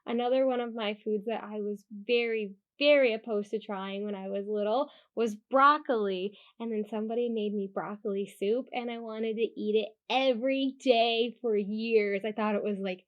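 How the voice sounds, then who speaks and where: A woman reading a children's storybook aloud in an expressive, emphatic way, with informal asides. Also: fairly high pitch, muffled recording. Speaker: children's storybook reader and host. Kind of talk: animated children's read-aloud with casual asides.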